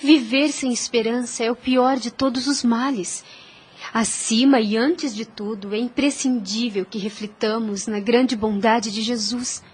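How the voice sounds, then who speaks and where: Radio-play ingénue played by a woman: Brazilian, gentle, devout, earnest preacher, high and breathy. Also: fairly even intonation, unhurried. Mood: earnest, consoling. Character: gentle, devout young girl, wise religious teacher. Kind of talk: audio drama acting.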